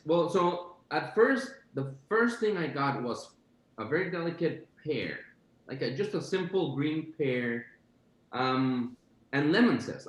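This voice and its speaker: A man's voice: mid-range voice, echoey room, rough recording. A man from Costa Rica talking casually and with enthusiasm, describing food with many fillers. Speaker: chef guest. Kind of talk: casual video-call chat.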